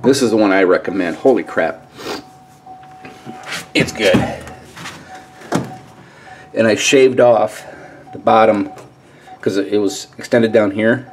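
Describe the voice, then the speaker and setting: A man's voice: lowish voice, slow, pauses often. A middle-aged man giving a casual hands-on walkthrough in a folksy, colloquial way, recorded on a handheld camera. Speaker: DIY vlogger. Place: in and around a pickup truck at a garage. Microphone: handheld camera mic. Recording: noisy handheld camcorder audio.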